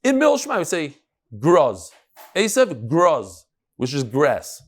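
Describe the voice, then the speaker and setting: Guy teaching talmud class, kinda high voice, very up and down melody, emphatic, chatty, throws questions out. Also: wildly swooping pitch, a little slow. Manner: conversational, emphatic, peppered with rhetorical questions and Hebrew terms. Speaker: rabbi giving a daily Talmud class. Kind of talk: informal Talmud lecture to a live class.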